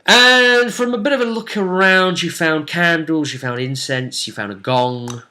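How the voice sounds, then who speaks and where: A man's voice: on the high side, unhurried, sharp tone. A man explaining a tabletop role-playing session as game master in casual conversation, often turning statements into tag questions.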